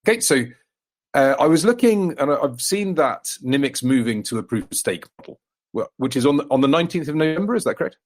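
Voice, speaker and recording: A man's voice: fairly high pitch, expressive, quick pace. A man hosting a crypto podcast interview, enthusiastic and encouraging, often backing up his guest with short replies. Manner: enthusiastic, encouraging, with frequent backchannels. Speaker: podcast host, co-founder.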